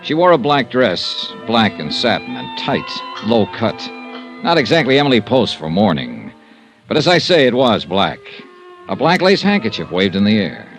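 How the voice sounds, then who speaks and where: Wry, swooping hard-boiled investigator. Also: male voice, fairly low pitch, wildly swooping pitch, moderate pace, fairly bright tone, faint music behind. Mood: wry narration. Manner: clipped, hard-boiled, sardonic. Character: tough, wry male freelance insurance investigator. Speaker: lead actor and narrator. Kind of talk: 1950s radio detective acting.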